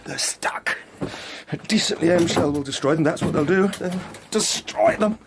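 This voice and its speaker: An actor playing a distraught, domineering Edwardian English husband in a period radio drama, agitated, stammering and imperious. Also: male voice, fairly high pitch, moderate pace, heavy background noise, natural voice. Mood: haunted, dismayed.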